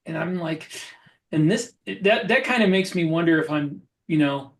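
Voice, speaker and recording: Higher-pitched, reflective man. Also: lively intonation, moderate pace, vocal fry. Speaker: podcast co-host. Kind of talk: casual discussion.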